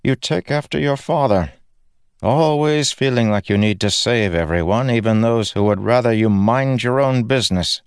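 A man voicing a guarded, weary young soldier who speaks low, earnest and clipped. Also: moderate pace, clean tone, no echo, clean studio sound, lightly acted. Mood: tense.

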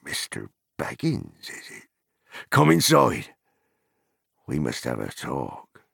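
Man voicing a gruff, hearty hobbit farmer. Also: rumbling low, huge pitch swings, takes it slowly, fairly bright tone, no echo, studio quality, heavily acted. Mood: astonished. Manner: rustic, blunt, exclamatory. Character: gruff, hearty, suspicious but hospitable middle-aged hobbit farmer. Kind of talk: dramatized fantasy audiobook character.

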